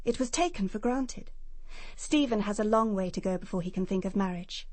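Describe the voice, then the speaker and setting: An English actress playing a prim, self-assured nurse giving precise testimony in a British radio crime drama. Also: female voice, medium pitch, a little fast, a little mellow, dry recording, close to an everyday voice. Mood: composed, defensive.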